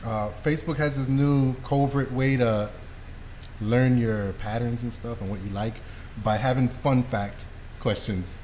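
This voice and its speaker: A man's voice: mid-range voice, steady tone, measured pace, a little mellow. A man hosting a podcast, chatting and asking questions in an informal, slangy way. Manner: slangy, informal, swears casually. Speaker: podcast host. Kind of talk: casual interview chat. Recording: clear background noise.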